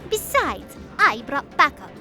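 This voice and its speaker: A woman voices a cheerful, devoted young girl who speaks earnestly and warmly. Her voice is high, with soft music behind. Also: sing-song, unhurried, exaggerated voice. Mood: caring.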